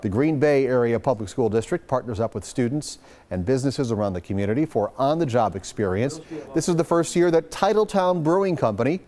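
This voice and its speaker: A man's voice: mid-range voice, lively intonation, brisk, a little mellow. A man with an American accent reading scripted local news as a TV anchor in a studio.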